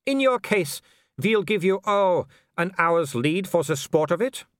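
A man's voice: high voice, lively intonation, measured pace, a bit bright, no room sound, studio quality, voice pushed up. A man voicing a menacing, haughty werewolf aristocrat who taunts in formal, grandly scornful phrases in an audiobook story. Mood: taunting menace. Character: haughty, menacing male werewolf pack leader, foreign aristocrat.

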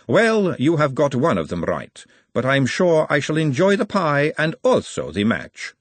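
A man puts on a haughty noblewoman for a fantasy storybook. The voice sits well above his own and swings widely in pitch, with formal, pointed, disdainful phrasing. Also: wildly swooping pitch, a little slow, no echo, exaggerated voice. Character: haughty, imperious aristocratic lady, sharp and disdainful.

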